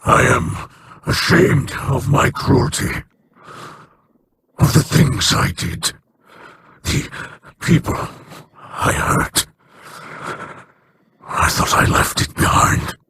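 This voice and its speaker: An actor playing an aging male alien pirate chieftain, remorseful and guarded, speaking in a slow, halting, formal way with a breathy, hissing rasp. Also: mid-range voice, very animated, sharp tone, heavily acted. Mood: ashamed.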